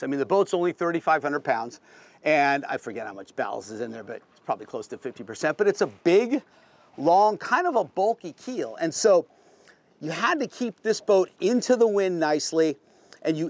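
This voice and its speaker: High-pitched American man, casual and folksy. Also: dry recording. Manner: plain-spoken, folksy, explanatory. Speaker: boat reviewer, veteran sailor. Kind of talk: casual unscripted boat tour talk.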